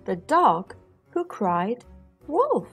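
A woman reading a children's storybook aloud as host, expressively and with deliberate enunciation. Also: on the high side, wildly swooping pitch, fairly bright tone, husky, faint music behind.

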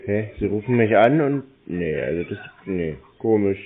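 A man answering a phone call in a curt, deadpan, laconic way. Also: lowish voice, moderate pace. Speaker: prank call recipient. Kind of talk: casual phone conversation. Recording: narrow lo-fi phone audio.

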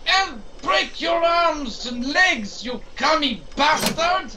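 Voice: high-pitched, lively intonation, slow, very noisy. An actor playing a bombastic, furious robot dictator in a comedic audio drama, ranting grandly. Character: bombastic, jealous, furious robot dictator obsessed with petting a kitty.

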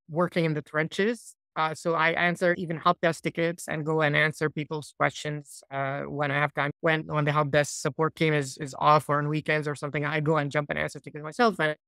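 A man in his forties from Iraq, a software founder, answering interview questions on a laptop video call in a small room, thoughtful and loose with restarts. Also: on the high side, fairly even intonation, a little fast, few pauses, smooth, no echo. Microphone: laptop mic on a video call.

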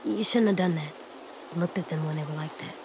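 A woman voicing a blunt, guarded little boy survivor in a video game, speaking in terse, halting lines. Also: sits low, background noise. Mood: subdued, stern. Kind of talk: naturalistic video game dialogue acting. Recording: faint outdoor stream ambience.

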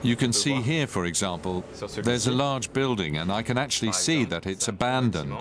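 A man's voice: fairly low pitch, expressive, moderate pace, over loud music. A man in his thirties, a photographer, explaining and pointing things out as he shows his work.

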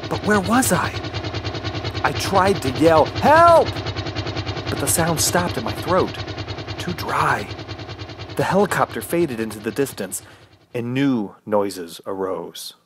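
Injured, panicked survivor played by a man, radio horror drama, slow anguished first-person narration with big pitch swings. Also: lively intonation, noisy background, lightly acted. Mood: tense, searching. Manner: halting, anguished, theatrical delivery. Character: injured, panicked man trapped alone in wilderness, recalling his ordeal. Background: music.

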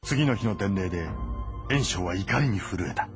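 An actor as the grave storyteller of a historical war epic, delivering scripted dramatic narration. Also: male voice, low-pitched, expressive, normal speed. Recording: music behind the voice.

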